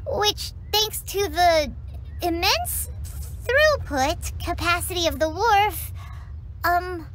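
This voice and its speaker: A woman voicing a small, chatty female fairy companion in a game cutscene, bouncy and playful, over loud game music. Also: heavily acted, low-quality recording. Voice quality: high, bouncy, refers to self in third person. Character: tiny chirpy female floating fairy companion. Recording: game score loud behind voice.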